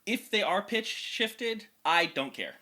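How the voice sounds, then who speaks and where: A young man with a higher speaking voice, chatting energetically as a vocal coach and explaining vocal anatomy in technical terms. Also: sing-song, takes it slowly, pushed and bright. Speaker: vocal coach reacting to music. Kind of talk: casual reaction and explanation.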